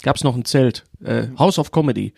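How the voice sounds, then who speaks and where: A man's voice: mid-range voice, normal speed, gravelly, darkish tone, slightly rough, dry recording. Man bantering on a podcast, dry close sound. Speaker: podcast host. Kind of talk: casual banter and film chat.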